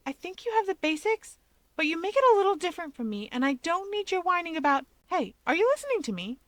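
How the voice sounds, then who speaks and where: A woman's voice: very high-pitched, very animated, quick pace, a bit bright, dry recording, voice pushed up, heavily acted. A woman voicing a flustered young woman, a bossy older sister who chatters and scolds in a story reading. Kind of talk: fan fiction audiobook character voice.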